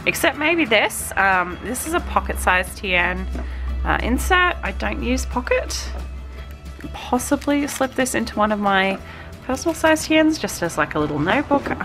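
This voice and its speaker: A woman's voice: fairly high pitch, sing-song, normal speed, a bit bright, soft background music. A woman, chatting through a stationery unboxing, slightly nasal from a cold and cooing over small items. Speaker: vlogger unboxing a stationery subscription box. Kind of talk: casual unboxing chat.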